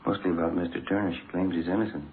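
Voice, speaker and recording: An actor playing a calm, understated police detective in a 1950s radio drama. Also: male voice, sits low, rapid-fire, phone-quality sound. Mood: low-key, informative. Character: calm, low-key male police detective, the junior partner. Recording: thin, noisy vintage radio recording.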